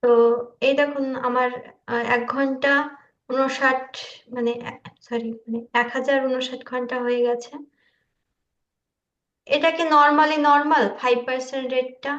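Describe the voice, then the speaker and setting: A woman informally explaining her work in a halting, loose way on an online call. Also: high voice, level tone, a bit bright, reverberant, lo-fi sound. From India. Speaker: video creator showing their work. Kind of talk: informal screen-share walkthrough.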